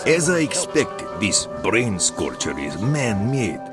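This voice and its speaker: A shrewd game trader with a lowish voice and lively, swooping intonation. He briefs in a deliberate, businesslike way, with loud music playing behind him. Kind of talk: scripted video game dialogue.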